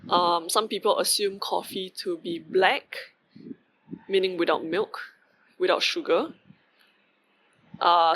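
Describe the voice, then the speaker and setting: Bright-voiced Singaporean man musing aloud. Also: sits high, low-quality recording. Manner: rambling, many fillers and asides. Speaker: vlogger. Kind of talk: casual reflective monologue.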